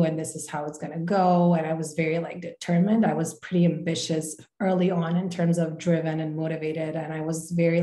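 Young Croatian woman, low relaxed voice. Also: reverberant. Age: young adult. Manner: relaxed and open, with frequent "like" and "um". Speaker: podcast guest. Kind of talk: casual interview chat. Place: home room, video call. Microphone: video call webcam.

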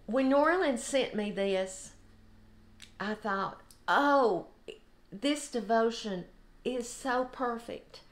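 An older woman with a Southern US accent, sharing an informal devotional in an earnest, deliberate way. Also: a little high, expressive. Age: 60s or older. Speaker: host of a devotional video. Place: home kitchen, indoors.

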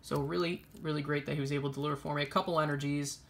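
A man's voice: on the high side, little pitch movement, rapid-fire, creaky, a little hiss or hum. A young man giving casual, chatty show-and-tell commentary as an enthusiastic collector hosting a video. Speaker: trading card collector and video host. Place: indoors, small room at a table.

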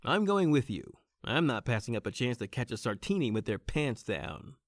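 An actor playing a wry hard-boiled private detective in a noir audio drama, both narrating and in dialogue. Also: male voice, medium pitch, sing-song, moderate pace, no room sound, clean studio sound, natural voice. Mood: firm.

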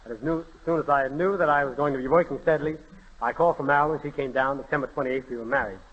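A man talks as a guest singer on a 1930s radio show. His delivery is quick and husky, at a middling pitch. The recording is dull and lo-fi. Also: fairly even intonation, a bit bright. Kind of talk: brief answers in a 1930s radio interview.

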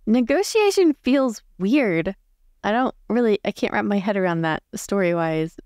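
A woman's voice: medium pitch, expressive, normal speed, a little mellow, no echo. A giggly, informal woman chatting casually as a player in a tabletop story game. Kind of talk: casual game chat and improvised roleplay. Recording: dry, faint music behind.

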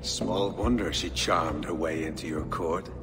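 An actor playing a sneering, corrupt middle-aged abbot in a video game, theatrical and sanctimonious. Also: male voice, low-pitched, fairly even intonation, unhurried. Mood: sly, taunting. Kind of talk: dramatic video game cutscene acting. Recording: long stone-hall reverb under music.

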